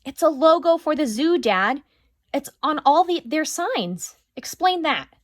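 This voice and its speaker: A woman's voice: high voice, lively intonation, measured pace, no echo, studio quality, pitched above the performer's own voice. A woman voicing an eager young girl who insists on what she has found, in a storybook reading. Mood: insistent.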